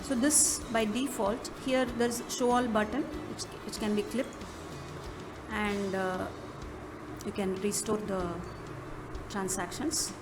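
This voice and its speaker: A woman presents a software walkthrough in an instructional manner, with halting phrasing. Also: mid-range voice, fairly even intonation, background noise. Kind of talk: step-by-step app walkthrough narration. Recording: music behind the voice. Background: clearly audible music.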